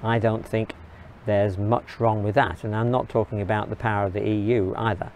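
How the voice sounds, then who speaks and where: A man from England, giving an earnest, measured opinion monologue in short, halting phrases. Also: fairly low pitch, level tone, a little mellow, a little hiss or hum. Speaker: video blogger.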